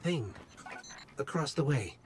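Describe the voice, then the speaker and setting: A male actor playing a small, earnest, wary robotic companion in a video game, with an expressive, inquisitive delivery. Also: fairly high pitch, slow, noisy background. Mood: wary, uneasy. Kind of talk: dramatic video game voice acting.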